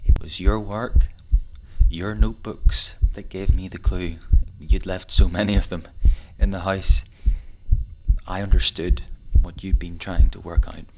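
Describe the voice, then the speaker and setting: An actor playing a haunted fugitive physicist in a wartime audio drama, musing hesitantly as if recording a diary. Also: male voice, low-pitched, steady tone, unhurried, darkish tone, slight background noise, rough recording. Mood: earnest, realising. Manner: hesitant, musing, with sighs and broken phrases. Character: haunted, rambling fugitive physicist, man living through a war.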